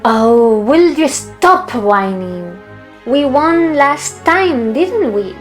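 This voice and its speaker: A woman's voice: high-pitched, big pitch swings, extremely slow, soft background music, exaggerated voice. A woman playing a blunt, analytical fighter pilot in a sci-fi audio drama. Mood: exasperated.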